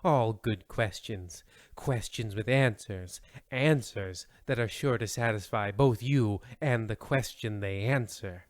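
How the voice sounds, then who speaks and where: A man's voice: lowish voice, slow pace, no room sound, clean studio sound. A man playing a melodramatic radio serial host, narrating pompously in a mock vintage mystery style. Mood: portentous.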